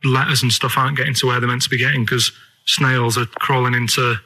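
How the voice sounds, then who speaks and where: A Northern English man with a bright, forward voice at an ordinary pitch. He chats on the radio in a rambling, earnest way, pressing naive points persistently. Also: little pitch movement, brisk, poor audio. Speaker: radio show regular making a phone call. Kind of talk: rambling comic radio chat.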